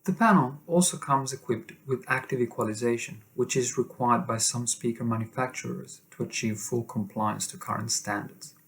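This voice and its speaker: A man delivers scripted narration for a company product video. His voice sits at a medium pitch, and he presents the material as a corporate explainer. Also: steady tone, moderate pace, creaky, few pauses, a bit bright, a little hiss or hum.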